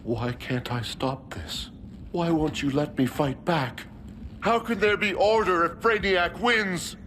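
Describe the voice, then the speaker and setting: Slow, higher-pitched anguished male fighter. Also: a bit bright, a little hiss or hum. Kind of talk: video game cinematic acting.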